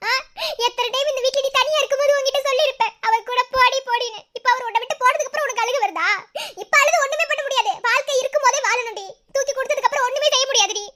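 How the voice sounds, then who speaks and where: A woman's voice: extremely high pitch, very fast, pushed and bright. A woman playing a scolding, lamenting mother of grown daughters, wailing and nagging shrilly in a family melodrama. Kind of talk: melodramatic family drama acting.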